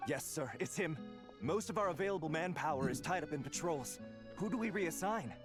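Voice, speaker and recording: An anxious young male soldier in a video game, voiced by an actor, eager and respectful. His voice is high and very animated. Music is clearly heard behind him. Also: fairly quick, lightly acted. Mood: urgent, worried. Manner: urgent, deferential.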